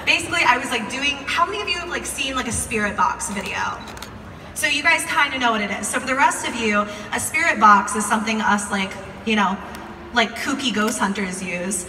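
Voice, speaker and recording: Echoey, bright-voiced young American woman storytelling. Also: a little high, brisk. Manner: chatty, informal, peppered with "like". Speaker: video creator telling a story to a live audience. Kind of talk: casual live storytelling. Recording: echoey hall, distant mic, some noise.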